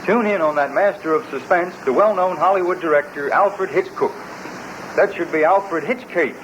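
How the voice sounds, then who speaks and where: A man's voice: on the high side, normal speed, muffled recording. A man announcing programs and news in a vintage radio style. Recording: noisy old radio broadcast.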